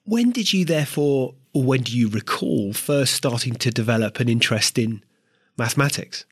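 Husky-voiced male podcast host. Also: mid-range voice, expressive, no room sound. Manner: measured, hesitant with fillers and restarts. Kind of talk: interview podcast.

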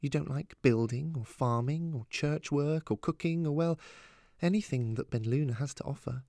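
A man voicing an anxious, loving mother in a story, talking in a fretful, halting way. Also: on the high side, vocal fry, mellow, no echo, studio quality. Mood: tender, encouraging. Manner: fretful, halting, repeating words. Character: anxious, exasperated, loving mother of a teenage daughter. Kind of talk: audiobook character dialogue.

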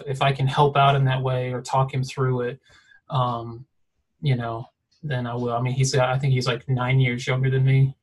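A man's voice: medium pitch, low-quality recording. A man tells a story on a casual podcast, his voice staying level with little rise or fall. He rambles along, often restarting and filling the gaps with "um" and "you know.". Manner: rambling, many fillers and restarts. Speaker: design mentor guest.

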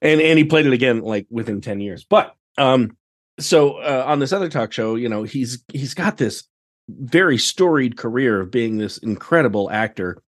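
A man chatting casually as a podcast guest, telling anecdotes in an animated way and acting out exchanges. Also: medium pitch, normal speed. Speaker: podcast guest, theatre director.

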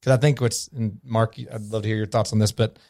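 A man's voice: on the low side, steady tone, a little fast, dark tone, no echo, clean studio sound. A man from Memphis, a guest on a podcast, talking earnestly and thoughtfully and often restarting his sentences. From Memphis, USA. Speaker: guest, coach. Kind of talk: reflective podcast conversation.

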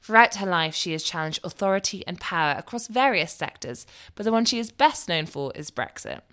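A woman's voice: lowish voice, expressive, brisk, a bit bright. A woman hosting a podcast, introducing her guest and asking friendly questions. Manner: friendly, asks questions, laughs along. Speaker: podcast host. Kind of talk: podcast interview.

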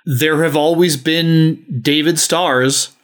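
A man's voice: on the high side, fairly even intonation, slow pace. A man hosting a podcast, talking to listeners in a frank, emphatic way with some swearing. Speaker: podcast host. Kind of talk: podcast talk, addressing listeners.

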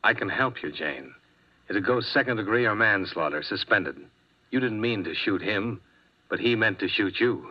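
An actor playing a world-weary insurance investigator in a 1950s radio detective drama, narrating tersely and dryly. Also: male voice, lowish voice, lively intonation, moderate pace. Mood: gentle. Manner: terse hard-boiled narration, dry and tired. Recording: dull old radio transcription with music.

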